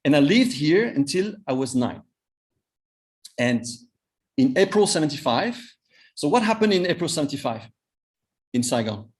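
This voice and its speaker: A man's voice: fairly high pitch, big pitch swings, moderate pace, long pauses. Hesitant French-accented man in his fifties. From Vietnam. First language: Vietnamese. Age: 50s. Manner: hesitant, often repeats and restarts words. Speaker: cross-cultural trainer and coach. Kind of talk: live online master class talk.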